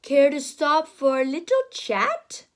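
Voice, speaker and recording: A sly, charming big bad wolf from a storybook, put on by a woman. He coaxes with fussy good manners, swinging widely in pitch and taking his time. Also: sing-song, slow, heavily acted. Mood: coaxing. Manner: smooth, over-polite, coaxing.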